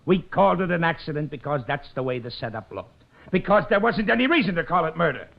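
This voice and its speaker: An actor with an American accent playing a put-upon, sarcastic police lieutenant in an old-time radio drama. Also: male voice, a little high, animated, fast talker, gravelly. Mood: exasperated. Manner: sarcastic, exasperated. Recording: muffled vintage radio recording.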